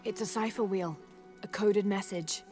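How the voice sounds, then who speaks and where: A woman's voice: medium pitch, steady tone, moderate pace, lightly acted. A young woman playing an earnest, determined adventurer in a naturalistic video game drama. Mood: focused, calm. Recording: loud music behind the voice.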